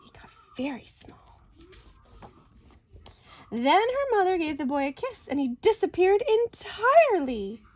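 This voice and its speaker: A woman reading a children's picture book aloud in an expressive, deliberate, dramatic way. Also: extremely high pitch. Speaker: story reader.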